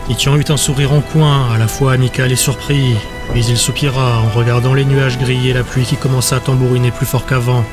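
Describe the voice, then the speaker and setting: A man's voice: mid-range voice, flat delivery, fairly quick, few pauses, over music. A man giving scripted narration for a fantasy audiobook.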